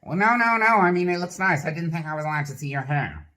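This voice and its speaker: A performer voicing a nosy, clumsy sock puppet host with a lively, bouncing delivery. It pries with awkward, stammering questions and trails off into nervous fillers when things go wrong. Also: medium pitch, fast. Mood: uneasy. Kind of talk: comedy puppet sketch.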